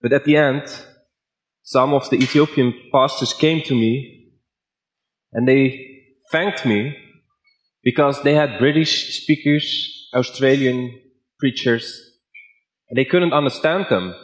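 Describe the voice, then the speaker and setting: A man with a Dutch accent, a guest preacher giving a sermon in simple, plain English at a measured pace. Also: mid-range voice, poor audio.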